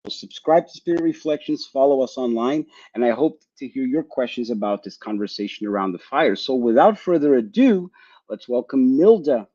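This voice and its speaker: A man's voice: medium pitch, animated, moderate pace, dark tone, husky. Enthusiastic male podcast host, muffled audio. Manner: enthusiastic, encouraging. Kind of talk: podcast interview.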